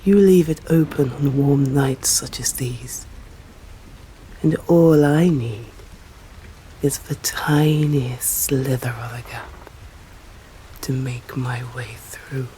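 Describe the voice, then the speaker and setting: A woman with an English accent playing a stern, courteous female vampire in an ASMR roleplay, speaking formally and deliberately in a breathy voice that often drops to a whisper. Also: rumbling low, dark tone, slight background noise, low-quality recording. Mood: composed, intrigued. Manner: slow, deliberate, formal, menacing.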